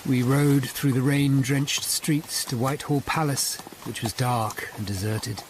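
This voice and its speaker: An actor as a grave male lawyer-detective narrating a Tudor radio drama. He speaks at medium pitch, slowly and with measured gravity, over faint background noise. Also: fairly even intonation, close to an everyday voice. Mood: sombre. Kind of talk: first-person dramatic narration.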